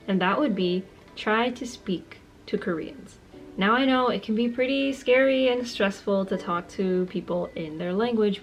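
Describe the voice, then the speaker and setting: Woman, 20s, casual vlogger, slightly low voice, sincere and upbeat, faint background music. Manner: friendly, earnest, repeats words for emphasis. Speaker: language-learning vlogger. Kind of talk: casual talk to camera, giving tips.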